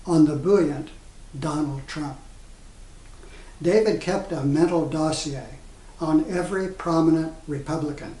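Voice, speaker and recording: Slow, mellow man, distant and echoey. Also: fairly high pitch. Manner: measured, halting phrase by phrase. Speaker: poet reading own work. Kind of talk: solemn poetry recital. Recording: echoey room, distant from microphone.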